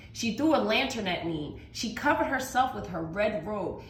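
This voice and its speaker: A woman reading a children's storybook aloud as a teacher, with dramatic, emphatic expression. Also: on the low side. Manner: dramatic, with emphatic drawn-out words. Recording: roomy echo, faint background noise.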